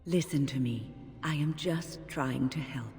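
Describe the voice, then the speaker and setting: A woman's voice: rumbling low, a little slow, darkish tone, soft background music. A woman voicing a resolute, earnest female mage in a fantasy video game. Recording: long hall reverb.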